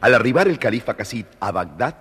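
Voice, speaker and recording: A man narrating a scripted children's story in a measured, expressive way. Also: medium pitch. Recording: reverberant studio story recording. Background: music.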